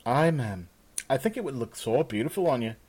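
A man's voice: mid-range voice, sing-song, fairly quick, a little mellow, husky. A man voicing an eager, deferential young servant girl with polite, old-fashioned speech in a fantasy story. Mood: eager, reassuring. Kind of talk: fantasy audiobook character.

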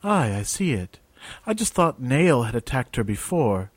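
A gentle, earnest man of faith with old-fashioned phrasing, as the narrator voices him. His tone is even and mild, recorded dry and close. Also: mid-range voice, measured pace, no echo.

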